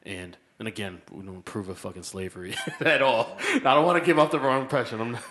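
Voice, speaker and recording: A man's voice: medium pitch, fast talker, a bit bright. A man in his early thirties hosting a podcast, joking and laughing as he steers the chat. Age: 30s. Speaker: podcast host. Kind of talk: casual chat.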